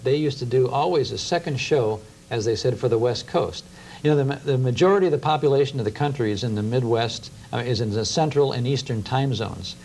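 A middle-pitched American man chats as a studio guest, explaining things. His voice has plenty of fry, and the old tape carries faint noise. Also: brisk, darkish tone. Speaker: guest radio historian. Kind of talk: explanatory interview chat. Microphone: lapel mic.

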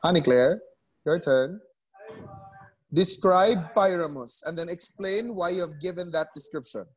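Brief: higher-pitched male teacher, patient and coaxing, repeats himself, video-call audio. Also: measured pace, rough recording. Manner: coaxing, repeats names and words. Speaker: English teacher. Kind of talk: online class discussion.

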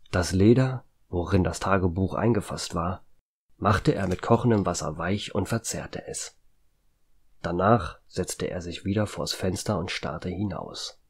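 A man's voice: low voice, a little mellow, husky. A man reading dramatic scripted narration of a horror audio story. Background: background music.

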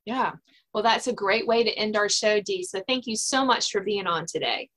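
A woman's voice: mid-range voice, moderate pace, fairly bright tone. A woman hosting a podcast in a conversational interview style. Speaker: podcast host and author.

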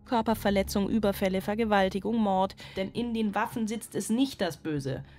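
An actress playing an obsessive, distrustful single mother in a radio drama, cold and deliberate. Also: mid-range voice, a little mellow, natural voice. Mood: cold, suspicious. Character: obsessive, distrustful single mother plotting against her child. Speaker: actress, lead and narrator of a radio play. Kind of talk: German radio drama monologue. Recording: music under the voice.

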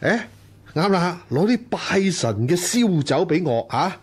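An actor playing a lecherous, coaxing rich master of a household in a radio drama. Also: male voice, on the high side, sing-song, a little slow, breathy edge, full character voice. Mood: lewd, coaxing. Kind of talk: Cantonese radio drama acting.

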